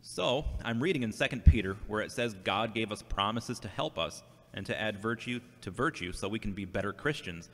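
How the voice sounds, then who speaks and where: A man's voice: fairly low pitch, animated, fairly quick, fairly bright tone. A man playing an earnest, devout, searching younger brother who questions himself, in an amateur audio drama. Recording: reverberant hall-like sound.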